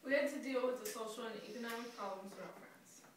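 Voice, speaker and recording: A woman's voice: on the high side, fast talker, natural voice. A woman playing a male French socialist leader answering a scripted mock interview. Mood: earnest. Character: French socialist leader, male, reflecting on his government's reforms. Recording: very echoey, noisy, distant mic.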